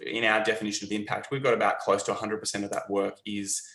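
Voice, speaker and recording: A man's voice: on the low side, little pitch movement, quick pace, a bit bright. Australian man, echoey room. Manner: hesitant, repeats words. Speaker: agency leader, panelist. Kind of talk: conversational panel talk.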